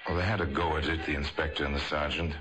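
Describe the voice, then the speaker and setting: A man narrating a vintage radio crime drama as a grave, deliberate storyteller. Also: rumbling low, steady tone. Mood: grave, dramatic. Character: grave storyteller narrating police murder cases. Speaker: narrator and host. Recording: vintage radio recording, faint music.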